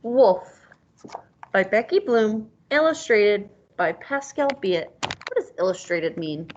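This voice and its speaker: A woman's voice: mid-range voice, lively intonation, takes it slowly, a little mellow, slight background noise. A woman reading a picture book aloud as a teacher, stopping conversationally to ask questions and explain words, on a dull lo-fi recording.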